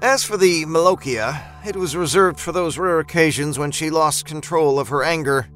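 A man narrating a scripted horror story as an expressive, dramatic storyteller. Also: fairly high pitch, moderate pace, soft background music.

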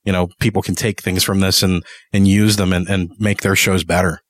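A man's voice: low voice, flat delivery, a little fast, no room sound, studio quality. A man hosting a podcast interview in a casual, conversational way.

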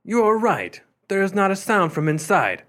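An actor playing an excitable, credulous gentleman in a mystery radio play, earnest and dramatic. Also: high-pitched, moderate pace. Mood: hesitant, curious.

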